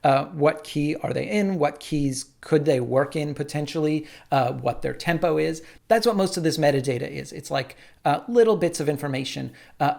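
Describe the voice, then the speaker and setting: Gravelly-voiced man explaining his workflow. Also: fairly high pitch. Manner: relaxed, lots of "uh" and "like". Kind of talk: casual tutorial talk.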